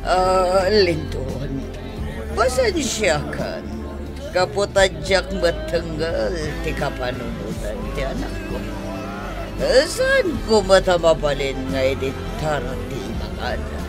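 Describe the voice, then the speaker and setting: An actor playing a scheming, flustered middle-aged mother who runs a beer house, a woman with an Ilocano accent and halting, theatrical delivery in a radio drama. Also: deep voice, wildly swooping pitch, loud music behind the voice, heavily acted. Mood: apologetic, flustered.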